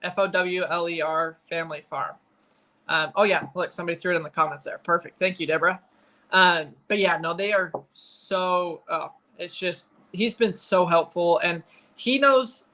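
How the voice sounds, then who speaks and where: A man's voice: sits high, creaky, pushed and bright. A man in his twenties chatting casually and informally on a family livestream, rambling a little as host. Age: 20s.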